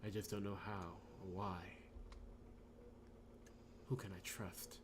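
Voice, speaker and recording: Visual novel lead, male reader: stubborn, heroic amnesiac young man, lowish pitch, emphatic delivery, audible background noise. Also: level tone, slow pace. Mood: troubled. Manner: emphatic, pleading, swearing when frustrated.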